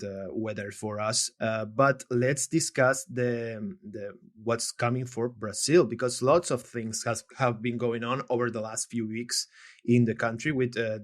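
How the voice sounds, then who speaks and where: Man hosting, halting and filler-heavy. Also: medium pitch. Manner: hesitant, many fillers and restarts. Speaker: podcast host and journalist. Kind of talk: casual podcast interview.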